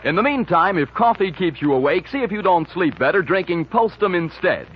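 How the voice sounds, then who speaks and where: A man's voice: high voice, lively intonation, brisk. An American man announcing a 1940s radio show and its commercials in a polished, promotional manner. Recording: dull, noisy 1940s radio recording.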